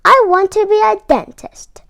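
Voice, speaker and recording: A woman's voice: very high-pitched, animated, normal speed, creaky, bright, forceful tone, pitched above the performer's own voice, exaggerated voice. A woman voicing an earnest, stubborn young male misfit elf in a children's storybook, plaintive and emphatic.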